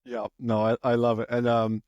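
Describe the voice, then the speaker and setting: A man's voice: mid-range voice, monotone, normal speed, darkish tone, no echo, dull audio. Man hosting a podcast interview. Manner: hesitant restarts, affirming. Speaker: podcast host. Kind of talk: interview questions, casual.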